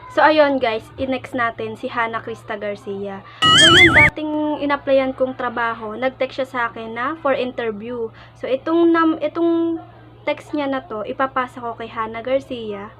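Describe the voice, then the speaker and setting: A woman from the Philippines with a Filipino accent, chatting casually and in an animated, informal way as a vlogger. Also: sits high, normal speed, loud music behind the voice. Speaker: vlogger, prank caller.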